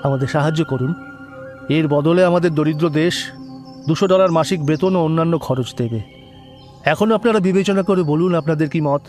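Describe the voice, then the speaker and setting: A man's voice: a little high, a little fast, darkish tone, soft background music, close to an everyday voice. A man playing an earnest, secretive foreign government agent in an audio drama, speaking formally and politely with instructions. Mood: earnest, pleading. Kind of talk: dramatized audio story dialogue.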